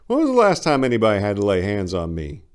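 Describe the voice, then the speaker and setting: Man playing a wry, animated veteran patient. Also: lowish voice, fast, smooth. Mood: wry protest. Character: easygoing, sardonic big male Vietnam veteran psychiatric patient.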